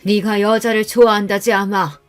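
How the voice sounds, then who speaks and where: A woman's voice: medium pitch, little pitch movement, moderate pace, faint music behind, lightly acted. A woman playing a stern older mother-in-law, solemn and scolding, in a radio-style story. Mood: grave.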